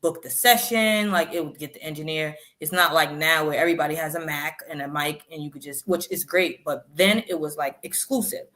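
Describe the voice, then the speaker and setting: A woman in her thirties, a rapper guest, telling stories in a chatty, animated way in an interview. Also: sits low, a little fast, few pauses. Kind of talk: interview storytelling.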